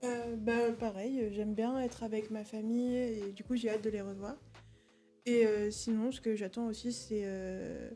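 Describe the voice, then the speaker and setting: Teenage girl with a soft voice. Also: on the high side, fairly even intonation, moderate pace, faint music behind. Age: late teens. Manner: hesitant, with fillers. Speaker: guest. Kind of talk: casual chat.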